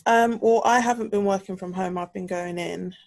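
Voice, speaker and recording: A woman's voice: medium pitch, steady tone, moderate pace, creaky, darkish tone. A woman speaking casually and hesitantly as a podcast co-host, measuring out her thoughts. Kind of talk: casual conversation.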